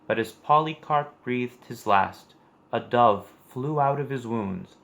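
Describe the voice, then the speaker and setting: Guy reading a kids storybook out loud, expressive, normal mid pitch. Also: slow, vocal fry. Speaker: storyteller reading a children's book aloud. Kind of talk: scripted read-aloud storytelling for children.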